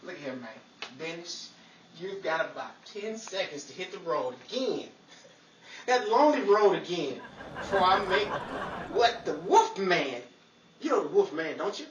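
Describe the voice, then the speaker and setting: An excitable, put-upon comic fellow acted by a man in old-time radio style, blustering and stammering. His pitch swoops about, heard from across an echoey room under heavy noise and crowd. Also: measured pace. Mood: indignant. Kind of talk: hammy 1940s radio comedy acting. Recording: roomy, distant mic, very noisy with crowd.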